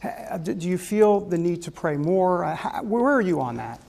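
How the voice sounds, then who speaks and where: A man interviewing, asking questions in a soft, hesitant way with many small stalls. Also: sits high, sing-song, normal speed, vocal fry.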